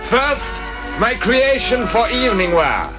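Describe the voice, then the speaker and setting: An actor playing a flamboyant, self-important fashion designer with a French accent, grandly addressing guests in an old-time radio drama. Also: male voice, extremely high pitch, sing-song, slow pace, exaggerated voice, poor audio. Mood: grand, gushing. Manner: grandly theatrical, gushing. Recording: old radio show with loud music.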